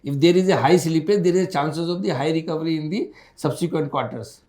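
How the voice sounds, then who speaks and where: Higher-pitched Indian man, emphatic and repetitive. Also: brisk, a little mellow, roomy sound. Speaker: bank executive. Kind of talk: answering analysts on an earnings call.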